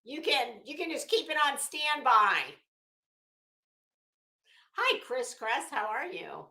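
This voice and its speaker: A woman's voice: sits high, lively intonation, slow pace, pushed and bright. A middle-aged woman chatting casually as a livestream host, greeting viewers with teasing, salty banter. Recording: echoey room.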